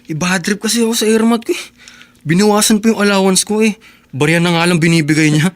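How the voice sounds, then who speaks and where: An actor with a Filipino accent playing a lazy, smooth-talking, pushy teenage schoolboy in a radio drama. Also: male voice, high-pitched, fast, no room sound, close to an everyday voice. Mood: sulky. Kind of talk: Tagalog radio drama acting.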